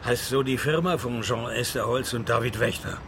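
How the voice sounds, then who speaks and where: A man playing a dry, sardonic private detective in a radio play, deadpan and laconic. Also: medium pitch, moderate pace, gravelly, a little hiss or hum. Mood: dry, probing. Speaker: lead actor and first-person narrator of a radio play. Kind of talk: radio drama dialogue and scripted first-person narration.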